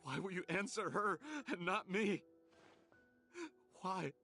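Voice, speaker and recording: A woman's voice: on the low side, slow pace, sharp tone, soft background music, heavily acted. A woman voicing a distraught, pleading son in a video game. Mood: desperate.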